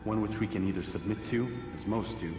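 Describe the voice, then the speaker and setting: An actor playing a solemn, earnest young assassin who speaks in a measured, formal way in a video game cutscene. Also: male voice, fairly low pitch, echoey room, long reverb tail, music in the background, close to an everyday voice. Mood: earnest, resolute.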